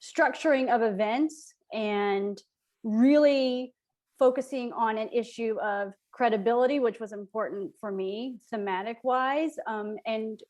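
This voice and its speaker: Woman writer chatting in an interview, highish voice, thoughtful, lots of pauses. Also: a little mellow. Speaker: playwright. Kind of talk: casual, reflective interview answer.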